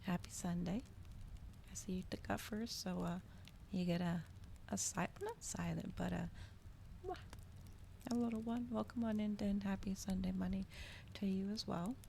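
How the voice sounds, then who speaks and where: Soft, creaky-voiced woman streaming ASMR. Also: mid-range voice, fairly even intonation, normal speed, long pauses. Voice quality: soft, gentle voice, often slipping into whisper. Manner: casual, hesitant, chatting to viewers. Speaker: streamer hosting a live reading. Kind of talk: soft-spoken ASMR livestream chat. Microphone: regular microphone, not ASMR-specific. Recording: audible background noise, fireplace ambience. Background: crackling fireplace ambience.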